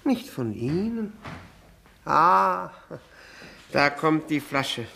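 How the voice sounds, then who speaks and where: An actor playing a bitter cuckolded widower in a 1960s German radio drama, fawning and self-abasing, then needling with mock courtesy. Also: male voice, on the high side, very animated, vocal fry, sharp tone, a little hiss or hum, exaggerated voice. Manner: mock-courteous, insinuating, with drawn-out words and needling questions.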